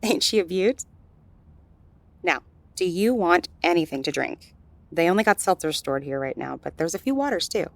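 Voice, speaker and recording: A woman's voice: on the low side, expressive, moderate pace, long pauses, no echo. A woman playing a friendly, flirtatious gallery worker in an intimate audio roleplay, soothing and teasing as she talks straight to the listener. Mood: playful, flirtatious.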